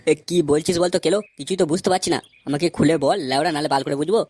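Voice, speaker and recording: A brash village man from a crude comedy cartoon acted by a man, talking quickly and bluntly. His speech is colloquial, with music playing underneath. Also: on the high side, darkish tone, lightly acted. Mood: confused.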